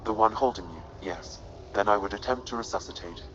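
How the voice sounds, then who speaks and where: An actor playing a calm, analytical artificial intelligence companion in a video game, measured, formal and precise. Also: male voice, on the low side, muffled recording, a little hiss or hum, lightly acted. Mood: calm, clinical.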